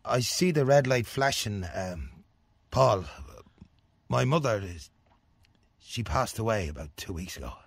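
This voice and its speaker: An actor playing a grieving, hesitant Irish working man in a radio drama, speaking haltingly with an Irish accent. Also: lowish voice, expressive, vocal fry, husky, no echo, heavily acted. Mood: subdued. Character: Martin, grieving, hesitant working son of a dead mother. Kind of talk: naturalistic radio drama acting.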